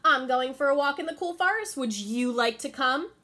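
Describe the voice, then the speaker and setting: A woman's voice: high-pitched, lively intonation, normal speed, pushed and bright, pitched above the performer's own voice. A woman doing a patient, clever little deer. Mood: innocent. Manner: patient, playfully innocent.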